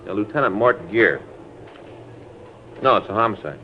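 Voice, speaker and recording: A man's voice: on the low side, animated, moderate pace. A man acting an easygoing police detective partner in a 1950s radio drama, talking in a plain, informal, businesslike way. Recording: noisy, dull vintage radio recording.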